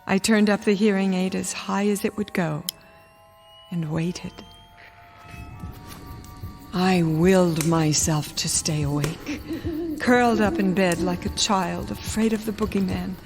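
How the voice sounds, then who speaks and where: An actress playing a frightened but steely, defiant wife in a radio drama, speaking deliberately and sometimes near a whisper. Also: female voice, on the low side, vocal fry, music in the background. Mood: wary, resolute. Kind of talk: dramatic first-person radio drama narration and dialogue.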